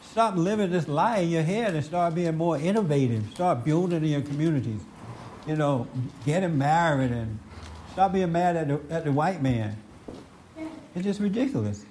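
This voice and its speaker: A man's voice: a little high, darkish tone, a little hiss or hum. A man hosting an unscripted, opinionated group discussion. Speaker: host leading a group discussion.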